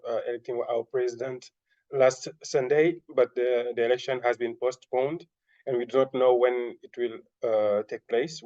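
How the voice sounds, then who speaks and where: Senegalese man, halting French-accented English. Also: medium pitch, warm tone. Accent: French-speaking Senegalese. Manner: halting, many fillers and self-corrections. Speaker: webinar panelist. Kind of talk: hesitant presentation. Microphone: video call. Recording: dull lo-fi video call audio.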